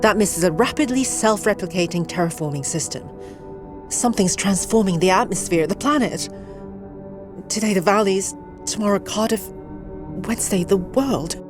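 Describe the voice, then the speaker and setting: Audio drama role: actress as clipped, urgent female field agent reporting threats, clear music underneath. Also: mid-range voice, moderate pace, close to an everyday voice. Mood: urgent, alert.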